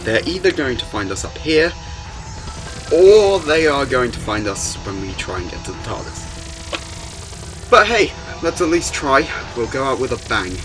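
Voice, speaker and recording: A man acts out a commanding alien adventurer for a fan audio drama. He is urgent yet hopeful, in a mid-pitched voice. Music plays clearly behind him. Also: very animated, unhurried. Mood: determined.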